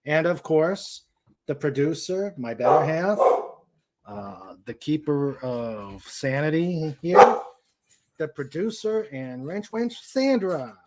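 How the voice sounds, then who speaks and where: A man hosting a casual livestream chat, speaking haltingly as he searches for his words. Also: fairly high pitch, lively intonation, a little mellow, a little hiss or hum. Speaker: host. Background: dog noises and clicks.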